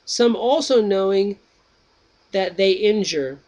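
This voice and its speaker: A man reads out Menon, a keen young student answering his teacher. The delivery is slow and earnest. The voice is high for a man. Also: lively intonation, a little mellow. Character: Menon, eager young male pupil of a philosopher.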